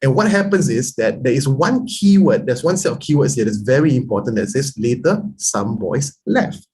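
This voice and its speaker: A man leads a live online math lesson. His voice is slightly husky and sits at an ordinary middle pitch. He keeps up a chatty teaching patter and fires quick questions at his students. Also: lively intonation, darkish tone. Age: 30s. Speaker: math tutor.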